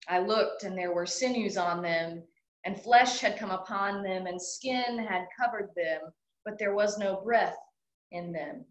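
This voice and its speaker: Woman worship leader, mid-pitched, very slow measured reading, echoey room. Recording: echoey hall.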